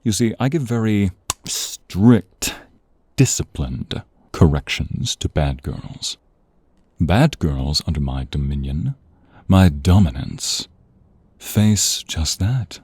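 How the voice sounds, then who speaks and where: A man voicing a seductive, domineering vampire in an ASMR roleplay, teasing and commanding in a breathy voice that often drops to a whisper. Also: deep voice, expressive, slow pace, a little mellow, no echo, exaggerated voice. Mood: domineering, smug. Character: sinister, seductive male vampire, domineering and predatory master. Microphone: close, dry studio microphone.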